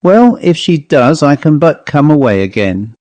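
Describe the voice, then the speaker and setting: A man's voice: a little high, very animated, normal speed, a little mellow, no room sound. A man voicing a keen, genteel older woman antiques dealer in a storybook reading, chatty and politely determined. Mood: cheerfully determined.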